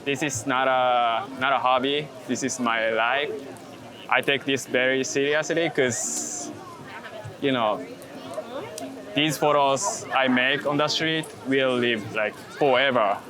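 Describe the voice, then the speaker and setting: A man's voice: medium pitch, fairly even intonation, takes it slowly, crowd noise. A man from Japan with a Japanese accent, talking casually in an interview and often searching for English words. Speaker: interview guest, street photographer. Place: outdoors in a busy city park. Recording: outdoor city bustle with traffic.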